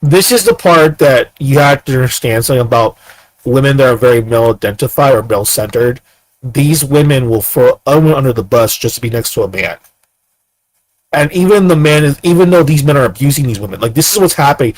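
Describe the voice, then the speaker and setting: A man's voice: mid-range voice, animated, brisk, no echo, low-quality recording. A man in his thirties giving ranting commentary, firing off repeated rhetorical questions. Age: 30s. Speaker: commentator reacting to clips.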